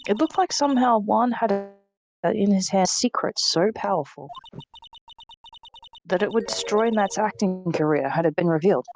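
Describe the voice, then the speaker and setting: A woman's voice: medium pitch, normal speed, soft background music, close to an everyday voice, low-quality recording. A woman voicing a cool adult female witness in a video game dub. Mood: knowing, cool.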